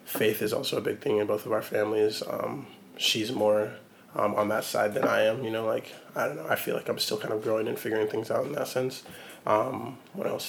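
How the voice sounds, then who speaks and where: A man in his twenties from Oregon, answering interview questions in a relaxed, hesitant way with frequent ums and likes. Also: lowish voice, creaky, slight background noise. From Portland, Oregon, USA. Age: 20s.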